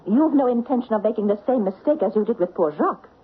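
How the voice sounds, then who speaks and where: A bold, theatrical actress, tinny radio. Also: female voice, fairly high pitch, lively intonation, fast talker, slightly rough. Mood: taunting, assured. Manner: theatrical, coolly taunting. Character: shrewd, bold French stage actress confronting a killer. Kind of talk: old time radio mystery acting. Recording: tinny, noisy old radio recording.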